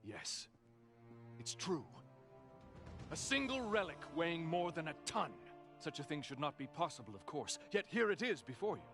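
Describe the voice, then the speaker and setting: An actor playing a suave, arrogant villain in a video game, smug, theatrical and condescending. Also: male voice, a little high, sing-song, normal speed, a bit bright, soft background music. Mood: proud dismissive. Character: suave arrogant male villain, wealthy inventor.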